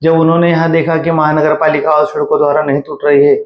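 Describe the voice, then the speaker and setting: A high-pitched male voice with a Mumbai Hindi accent, recorded in an echoey room. He speaks as an interviewee, laying out his points step by step in an emphatic, explanatory way. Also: monotone, quick pace, muffled recording. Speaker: interviewee commenting on local civic affairs. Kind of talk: spoken news interview, explanatory commentary.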